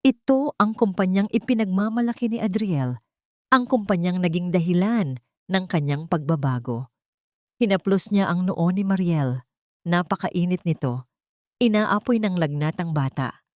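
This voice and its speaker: A woman's voice: on the low side, moderate pace, darkish tone, dry recording, studio quality. A woman reading a scripted, dramatic story as an audiobook narrator.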